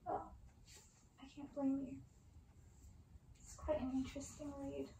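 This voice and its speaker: Creaky-voiced woman reading softly in ASMR style. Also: high voice, little pitch movement, a little slow, reverberant, a little hiss or hum, low-quality recording. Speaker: storyteller.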